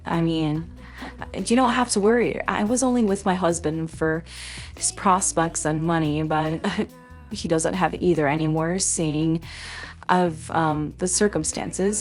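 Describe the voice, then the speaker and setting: A woman playing a scheming, falsely sweet gold-digging widow in a roleplay drama, coy and smug with drawn-out mock sympathy. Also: on the low side, faint music behind. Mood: coy, menacing.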